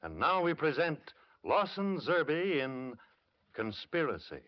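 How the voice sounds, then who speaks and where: A man hosting an old-time radio mystery show, giving a measured, formal introduction. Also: fairly high pitch, very animated. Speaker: radio show host and narrator. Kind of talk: 1940s radio announcing. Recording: dull lo-fi old radio recording.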